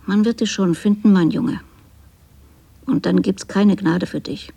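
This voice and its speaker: A middle-aged woman acting a bitter, reproachful mother in a radio drama, speaking precisely and theatrically in a husky, creaky voice. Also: medium pitch, steady tone, a little slow, dark tone. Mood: vindictive, accusing.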